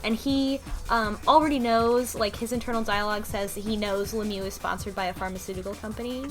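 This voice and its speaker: A woman talking casually on a discussion panel, speculating in loose, animated runs peppered with "like". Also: mid-range voice, fairly quick. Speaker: book club podcast panelist. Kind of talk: casual discussion of a video game story. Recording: music playing under the talk. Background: background music.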